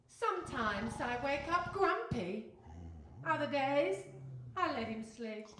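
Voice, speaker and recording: A woman's voice: high voice, very animated, slow, fairly bright tone, a little hiss or hum, full character voice. A woman playing a bossy, ambitious fisherman's wife, imperious and insistent, in a live radio play. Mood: wry. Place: stage before a live audience in a hall. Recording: very echoey live hall.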